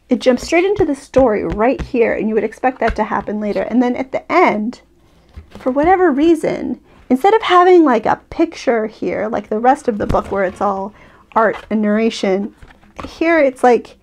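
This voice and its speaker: A woman's voice: high-pitched, a little mellow. A woman in her thirties giving a casual, chatty review, breaking off her own sentences with wry, hesitant asides. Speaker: book reviewer. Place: small furnished room, seated indoors.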